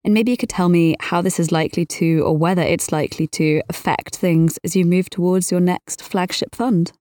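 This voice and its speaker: A woman's voice: on the low side, fairly even intonation, moderate pace, dark tone, no room sound. A woman hosting a business interview, putting prepared questions in a polite, articulate, professional way. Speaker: interviewer, podcast host.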